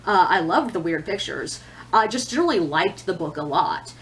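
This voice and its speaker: A woman's voice: fairly low pitch, lively intonation, moderate pace, a bit bright, slight background noise, rough recording. A woman giving a casual, chatty monologue as a book reviewer, opinionated and conversational, with self-deprecating asides.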